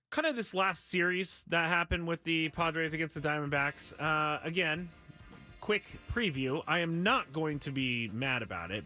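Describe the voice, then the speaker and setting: A man's voice: fairly high pitch, bright, forceful tone. A man hosting a sports talk radio show, speaking in a casual, candid, self-deprecating monologue. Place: radio studio.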